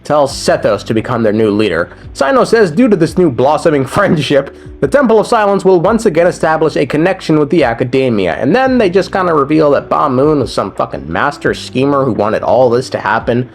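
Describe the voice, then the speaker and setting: A man's voice: a little high, big pitch swings, a little fast, hardly any pauses, soft background music. A man narrating a scripted recap of a video game story in a casual, irreverent style.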